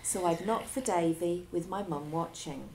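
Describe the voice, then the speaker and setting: A female teacher reading a children's story aloud, with expressive delivery and dramatic pauses. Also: lowish voice, a little hiss or hum, low-quality recording.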